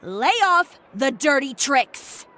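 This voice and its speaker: A woman's voice: high-pitched, animated, takes it slowly, bright, forceful tone, slight background noise, exaggerated voice. An actress playing a confident, sardonic young woman in a video game, composed and cutting. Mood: menacing.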